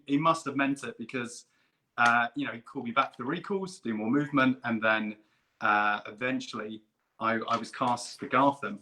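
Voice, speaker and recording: Excitable man, bright voice. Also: mid-range voice, a little hiss or hum, low-quality recording. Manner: eager, rambling, fan-like storytelling with restarts. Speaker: guest puppeteer and performer. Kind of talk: casual chat.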